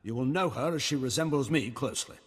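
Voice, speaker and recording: A man's voice: mid-range voice, fast, breathy edge, long reverb tail, close to an everyday voice. A man playing a cold, haughty middle-aged imperial admiral in a video game, formal and condescending. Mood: grave.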